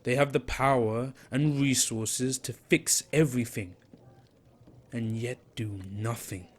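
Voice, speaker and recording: A man's voice: mid-range voice, slow pace. An actor playing an angry man who rails against the rich, impassioned and lecturing.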